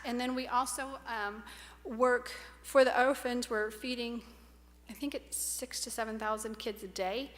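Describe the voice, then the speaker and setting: A woman's voice: on the high side, fairly bright tone. A middle-aged woman giving an upbeat missionary report to a church congregation, explaining with frequent "um"s. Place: church sanctuary stage. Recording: hall reverb in a church.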